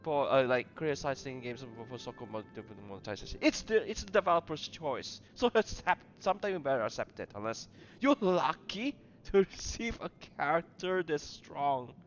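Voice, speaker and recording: Rambling man with very lively pitch. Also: dull audio, soft background music. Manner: halting, rambling, often repeats phrases. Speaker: gamer commenting on his own play. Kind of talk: casual gameplay commentary.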